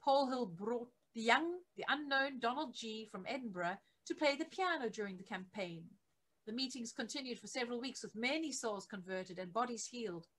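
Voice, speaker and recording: A middle-aged woman reading a biography aloud as scripted narration, measured and with emphasis on key phrases. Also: a little high, expressive, fairly bright tone, poor audio. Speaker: reader of a church history book. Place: attic home office, indoors.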